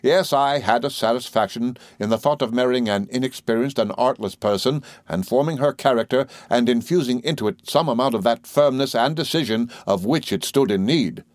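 A cold, tyrannical master of the house from a classic novel, played by a male narrator. He is firm, pompous and deliberate, arrogant in every phrase. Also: medium pitch, dry recording, clean studio sound, voice pushed up, close to an everyday voice. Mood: cold severity.

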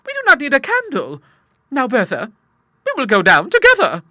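A man voicing an excitable elderly spinster with a high, thin voice and breathless, exclamatory manner. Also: moderate pace, no room sound, studio quality, exaggerated voice. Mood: fretful. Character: excitable elderly spinster woman, nervous and indignant.

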